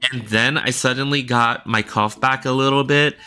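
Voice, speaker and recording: A man's voice: mid-range voice, fairly even intonation, moderate pace, bright, forceful tone, clean tone. A man chatting casually on a livestream, rambling and checking in with viewers as he talks. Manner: rambling, talks directly to viewers with frequent "right?". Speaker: livestreamer and illustrator. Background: faint background music.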